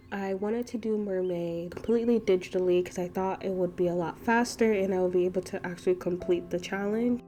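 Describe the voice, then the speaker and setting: A young woman, stuffy and a bit nasal from allergies, gives a soft, rambling voiceover about her painting process. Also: on the low side. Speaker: art channel host. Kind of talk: voiceover narration of a painting session. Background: background music.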